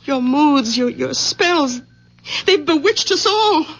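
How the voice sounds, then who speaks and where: A woman's voice: high-pitched, lively intonation, takes it slowly, exaggerated voice. A woman playing an anxious, disbelieving adult daughter in a 1970s radio drama, agitated and sternly protective in her delivery. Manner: agitated, scolding. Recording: muffled old radio drama recording.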